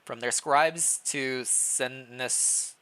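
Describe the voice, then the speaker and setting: A man's voice: mid-range voice, steady tone, drawn-out words, bright, forceful tone, clean tone. A man narrating fan fiction expressively as a streamer, mixed with casual chat. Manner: stumbles over words while reading aloud, self-deprecating.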